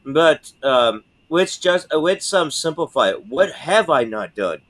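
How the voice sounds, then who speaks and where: A man's voice: a little high, sing-song, measured pace, fairly bright tone. A man on a panel, ranting and mocking with a lot of swearing. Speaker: panel guest. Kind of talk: heated panel argument.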